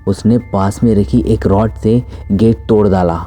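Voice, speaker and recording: Horror story narrator, male: mellow, slightly low voice, scripted storytelling over background music. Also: fairly even intonation, a little slow.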